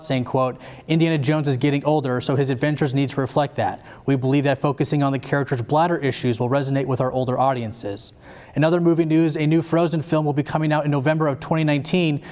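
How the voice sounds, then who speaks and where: Young man, American accent, higher voice, student news anchor, mock-news delivery. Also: darkish tone. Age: young adult. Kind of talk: scripted satirical newscast.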